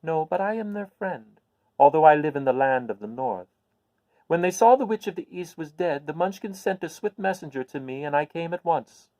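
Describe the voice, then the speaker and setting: Kindly elderly good witch, performed by a man: high, very animated, deliberate storybook delivery. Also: no room sound, natural voice, poor audio. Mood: calm, proud. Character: kindly, calm little old woman, a good witch. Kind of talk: storybook character voice.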